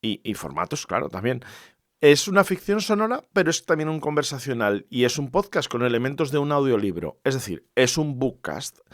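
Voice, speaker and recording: A man's voice: medium pitch, no room sound, studio quality. A man from hosting a podcast alone in an informal, conversational, explanatory way. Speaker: podcast host. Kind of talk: conversational solo podcast monologue.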